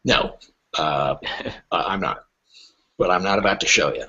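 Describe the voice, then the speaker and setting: A man's voice: low-pitched, measured pace, pushed and bright, breathy edge, highs cut off. A middle-aged man speaking conversationally as an interview guest, wry and joking, with anecdotal answers. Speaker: literary agent, podcast guest.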